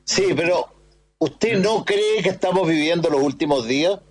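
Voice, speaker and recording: A man interviewing in a conversational way, engaged and quick to chime in. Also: fairly high pitch.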